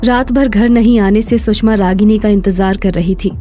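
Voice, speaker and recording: A woman's voice: mid-range voice, steady tone, normal speed, warm tone, faint music behind. A woman narrating a scripted story as its storyteller.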